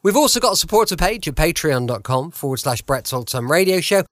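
A man's voice: a little high, very animated, a little fast, a bit bright, no room sound, clean studio sound. An English-accented man hosting a podcast from home, chatty and enthusiastic as he introduces the show. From United Kingdom. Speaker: podcast host. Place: home, close dry microphone.

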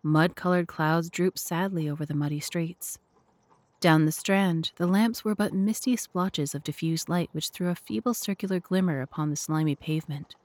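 Low-voiced woman narrating a story. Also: moderate pace, darkish tone, dry recording. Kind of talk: scripted literary narration.

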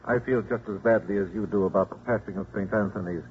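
A man's voice: lowish voice, steady tone, quick pace, natural voice. Man playing an urbane cardinal, muffled radio. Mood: genial, dignified. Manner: dignified, drily playful. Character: genial, urbane Cardinal, teasing old pupil. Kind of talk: 1950s radio drama acting. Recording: muffled, noisy 1950s radio broadcast.

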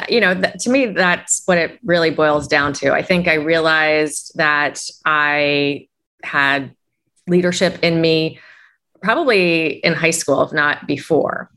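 A woman's voice: low-pitched, a bit bright. A woman executive coach, a guest answering interview questions in a reflective way. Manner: reflective, uses "you know" often.

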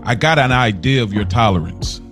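A man co-hosting a podcast, speaking in a reflective, hesitant, soft-spoken way during a personal conversation. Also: medium pitch, lively intonation, a little fast, over music. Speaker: podcast co-host. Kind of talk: casual conversation.

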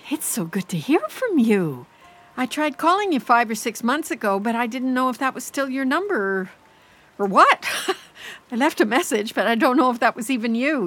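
A woman's voice: a little high, fairly bright tone. A middle-aged woman playing an anxious, apologetic mother who rambles and frets in a naturalistic audio drama. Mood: flustered, eager. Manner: rambling, fretful, self-blaming.

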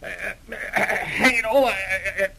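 A man's voice: very high-pitched, fairly even intonation, normal speed, pushed and bright, heavily acted. A man playing a pompous, spluttering, henpecked old English lord in 1940s radio drama style. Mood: flustered, grudging.

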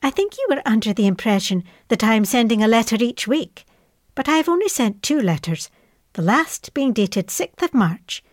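A woman's voice: on the high side, expressive, moderate pace, no echo, studio quality, lightly acted. An actress playing an affectionate middle-aged mother in wartime, reading out her chatty, matter-of-fact letter to her airman son. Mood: chatty, fond.

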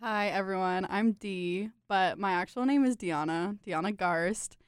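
A woman's voice: medium pitch, measured pace, clean tone, no echo, studio quality. A young woman from Connecticut, a student saxophonist, chatting casually in an interview. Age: young adult. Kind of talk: casual chat.

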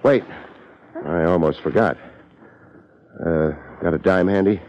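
An American actor playing a laid-back but tough private investigator in a 1950s radio drama, with wry, slangy, hard-boiled delivery. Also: male voice, low-pitched, measured pace, darkish tone. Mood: relieved, wry. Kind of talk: 1950s radio detective drama acting with narration. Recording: dull, lo-fi 1950s radio recording.

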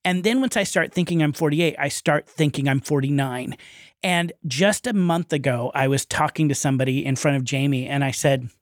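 A man's voice: on the high side, moderate pace, no echo. Chatty man in his forties, dry studio sound. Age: 40s. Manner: playful, chatty, laughs easily. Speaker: podcast host. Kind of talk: upbeat podcast chat.

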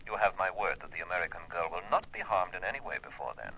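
An actor playing a formally polite foreign kidnapper who makes calm, measured threats in a 1950s radio crime drama. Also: male voice, sits low, heavily acted, low-quality recording. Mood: commanding threat. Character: menacing, coolly polite foreign male villain and kidnapper. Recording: vintage 1950s radio recording.

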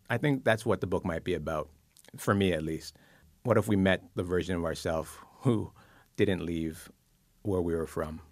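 Deep-voiced man musing on books. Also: dry recording. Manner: thoughtful, musing, with pauses and fillers. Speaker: book columnist and psychotherapist. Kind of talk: reflective interview conversation.

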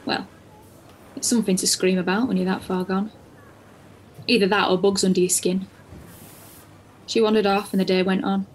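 A woman voicing a tired, sweary cafe waitress, talking flatly about her shift. Her tone is blunt and earthy, and a faint hiss of noise sits under the voice. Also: medium pitch, normal speed, long pauses, natural voice, rough recording. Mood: weary. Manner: blunt, earthy, matter-of-fact. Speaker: cafe worker narrating. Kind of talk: first-person dramatic monologue.

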